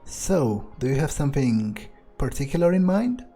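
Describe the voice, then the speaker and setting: A man roleplaying a hesitant, curious vampire in a video game, musing aloud in a halting, wary way. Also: mid-range voice, sing-song, warm tone, soft background music. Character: hesitant, curious male vampire, wary yet polite loner. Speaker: let's player voicing own character. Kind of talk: in-character improvised game roleplay.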